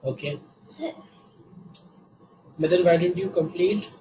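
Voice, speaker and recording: A man teaching a live online class while he has a cold, patient and repeating each step slowly. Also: sits high, fairly even intonation, mellow, highs cut off, a little hiss or hum. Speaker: online course instructor.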